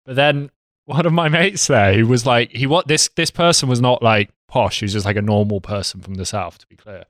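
A man from Derby, England, with a softened Derby accent, chatting as a podcast guest and telling stories casually, with the odd swear word. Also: medium pitch, fairly quick, no echo, clean studio sound. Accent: softened Derby English accent. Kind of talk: casual chat.

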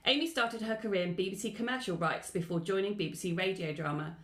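A woman hosts an interview podcast. Her voice sits at a middle pitch, and the recording is roomy, with noticeable echo around her words. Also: fast, a bit bright. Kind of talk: scripted podcast introduction, then conversational interview.

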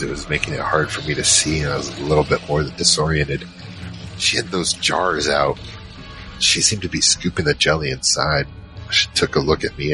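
An actor playing a huge, shaken survivor recounting a monster battle in a halting first-person audio drama narration. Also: male voice, bright, forceful tone, heavily acted, rough recording. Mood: haunted, recounting. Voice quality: very low, heavy voice. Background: music.

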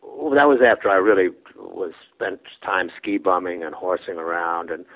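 A man in his nineties, originally from Brooklyn, tells his life story as an interview guest. His voice sits fairly low, and he rambles, restarts and lets his sentences fade quietly away. The sound is narrow and tinny, as if heard over a phone line. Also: moderate pace, gravelly. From Brooklyn, New York. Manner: rambling anecdotes that trail off softly, with restarts and chuckles.

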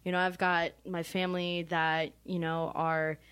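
A woman's voice: fairly low pitch, little pitch movement, a little slow, fairly bright tone, clean tone. A woman being interviewed about her band, chatting animatedly and rambling with lots of fillers and frequent laughter. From Mexican American. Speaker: band member, guest. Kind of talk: casual interview chat.

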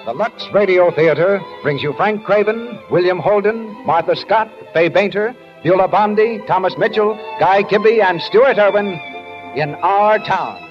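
A man announcing in formal, showy 1940s radio style, presenting the show and pitching its sponsor. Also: unhurried, faint music behind. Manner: formal, showy, polished salesman delivery. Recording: dull vintage 1940s radio recording.

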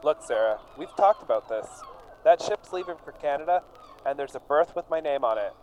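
A man's voice: a little high, animated, a little fast, darkish tone, a little hiss or hum, close to an everyday voice, low-quality recording. An actor playing an earnest, defensive immigrant painter down on his luck in a radio drama. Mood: hopeful, reassuring. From England. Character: John Terse, struggling English immigrant painter, earnest and defensive.

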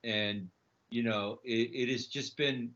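A middle-aged man from Chile telling his own story conversationally as a guest, halting and repeating his words. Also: medium pitch, fairly even intonation, a bit bright. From Chile, emigrated to the United States. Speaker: podcast guest, snack bar entrepreneur. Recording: dull, lo-fi audio.

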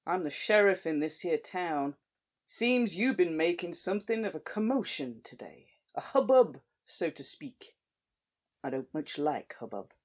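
A man voicing a big, calm middle-aged sheriff who speaks in a slow, measured drawl with quiet menace. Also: on the high side.

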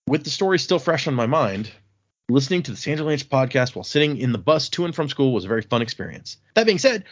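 A man's voice: medium pitch, dull audio. Laid-back man reading listener mail. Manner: relaxed, halting, with restarts and fillers. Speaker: podcast host. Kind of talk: casual podcast chat, reading listener emails aloud.

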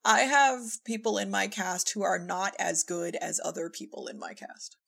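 A woman's voice: medium pitch, normal speed, creaky, fairly bright tone. A woman talking casually about her directing work as a podcast guest. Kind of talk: casual conversational discussion.

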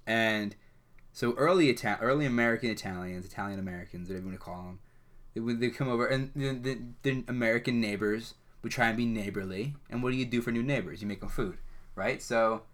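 A young man co-hosting a casual podcast, with a bright, forceful edge to his voice. He rambles through his stories with many restarts and laughs easily along the way. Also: medium pitch, quick pace. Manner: rambling storyteller, laughs often. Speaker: podcast co-host. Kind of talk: casual chat.

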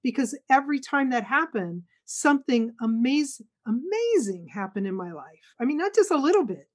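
A woman's voice: high-pitched, very animated, moderate pace. Earnest middle-aged woman guest, emphatic. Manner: earnest, emphatic, acts out quotes. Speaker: guest speaker. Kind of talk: conversational interview.

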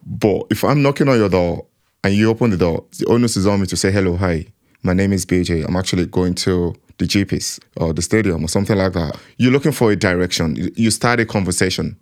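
A man's voice: low-pitched, fast talker. A man giving a personal account in an interview, reflective and acting out his own inner voice.

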